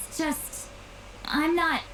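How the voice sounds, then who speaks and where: An actress playing a long-lived, intimidating but guarded shaman woman in a video game, halting and sighing, slightly tipsy. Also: high-pitched, exaggerated voice, low-quality recording. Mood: awkward, guarded. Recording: game dialogue under loud music.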